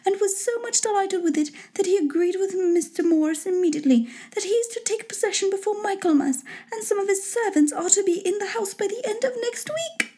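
A woman voicing a fussy, excitable middle-aged wife and mother in a storybook reading, gushing, pleading and impatient. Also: very high voice, fairly quick, few pauses, pitched above the performer's own voice. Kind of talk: storybook character voice in an audiobook reading.

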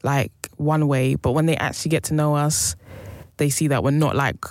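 A woman's voice: low voice, fairly even intonation, darkish tone, no room sound, clean studio sound. A woman, co-hosting a podcast and thoughtfully recounting her own experiences. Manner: reflective, drawn-out storytelling. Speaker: podcast co-host. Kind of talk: casual chat.

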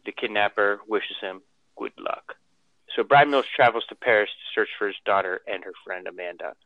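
A man's voice: lowish voice, normal speed, dry recording. A man co-hosting a casual podcast, reading a film synopsis and chatting loosely over a tinny phone line. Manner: reads the synopsis aloud, then chats loosely. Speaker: co-host.

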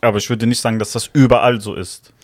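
A man's voice: mid-range voice, little pitch movement, quick pace, a little mellow, dry recording. Slangy young man arguing his point. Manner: slangy, argumentative, frequent "weißt du, was ich meine". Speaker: podcast co-host. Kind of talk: casual podcast debate.

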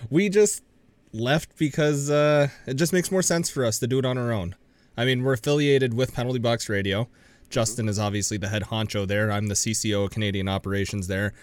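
A man's voice: medium pitch, steady tone, fairly quick, no room sound. A young man co-hosting a podcast, chatting casually and throwing in dry joking asides. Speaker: podcast co-host.

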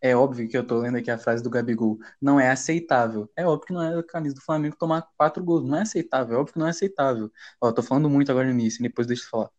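A man from Brazil hosting a casual football podcast, talking in heavy slang with frequent swearing and rising to loud, agitated rants. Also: mid-range voice, very fast, few pauses, lo-fi sound. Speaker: podcast host. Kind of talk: heated casual football chat.

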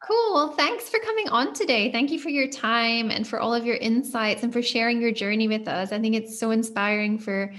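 A woman's voice: fairly high pitch, brisk, few pauses, a bit bright. A woman hosting a casual podcast interview, talking in a friendly way with fillers like "kind of" and polite thanks to her guest. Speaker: podcast host.

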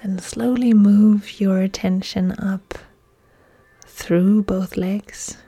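Very slow, hushed woman guiding relaxation. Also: mid-range voice, steady tone. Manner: soft, breathy, soothing delivery with long pauses. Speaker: yoga instructor. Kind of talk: guided relaxation meditation. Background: soft music.

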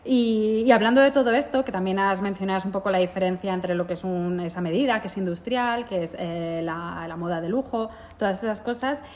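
A woman's voice: medium pitch, a little fast, few pauses, a little mellow. A woman hosting a podcast, asking long, meandering questions and backchanneling as her guest speaks. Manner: friendly backchanneling, rambling questions. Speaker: podcast host, interviewer. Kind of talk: casual interview chat.

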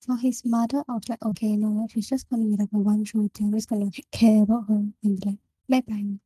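A young woman with a Singaporean English accent, casually discussing a book with friends and often pausing to find her words. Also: mid-range voice, little pitch movement, mellow, slightly rough, no room sound, low-quality recording. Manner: halting, searching for words. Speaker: book club member.

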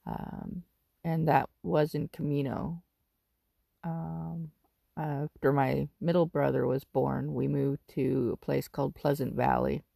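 Raspy-voiced woman in her fifties, rambling. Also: low voice, level tone, takes it slowly, dark tone, dry recording, studio quality. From Northern California. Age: 50s. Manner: rambling, with frequent ums and self-corrections. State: raspy from wildfire smoke. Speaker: podcast host. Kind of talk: casual personal monologue.

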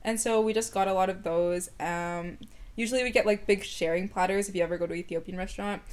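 A young woman from Canada talks as a guest in a casual conversation. Her manner is relaxed and chatty, and she readily agrees with her co-host. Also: mid-range voice, fast talker. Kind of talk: casual chat.

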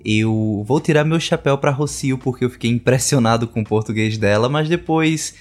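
A man's voice: medium pitch, normal speed, soft background music. Effusive, chatty man podcast host. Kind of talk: podcast interview.

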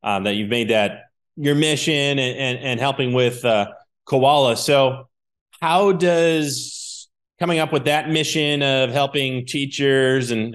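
A man hosting an interview podcast, upbeat and affirming, sometimes trailing off into a quieter, lower voice. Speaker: podcast host.

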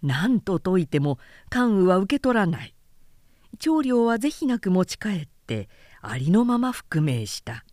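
A woman professional narrator reading a literary story in a deliberate, expressive, dramatic style. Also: mid-range voice, no echo, clean studio sound.

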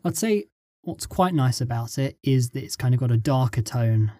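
Mellow man in a dry interview. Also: mid-range voice, expressive, moderate pace, no room sound. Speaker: script writer being interviewed. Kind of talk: casual interview chat.

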